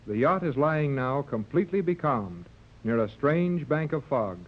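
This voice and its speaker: A man's voice: medium pitch, expressive, a little slow. A man narrating as the announcer of a 1930s radio serial, reading a scripted story recap. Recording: muffled old 1930s radio recording.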